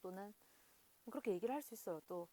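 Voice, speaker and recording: A woman's voice: medium pitch, quick pace, dark tone. A woman talking casually to camera in a Korean regional dialect, rambling with many fillers and frequent laughs. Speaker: video host.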